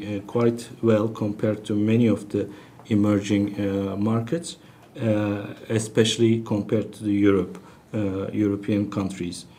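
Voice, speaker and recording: A man with a fairly low, soft-edged and mellow voice gives a business briefing. He speaks as an executive on an earnings call, unscripted and halting, often pausing to search for the next phrase. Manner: halting, hesitant delivery with long searching pauses.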